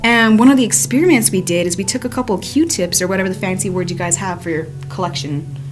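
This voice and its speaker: A woman's voice: mid-range voice, fast talker. A woman in her early twenties hosting a casual college radio chat in a slangy, jokey, exclamatory way. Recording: music behind the voice. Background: music.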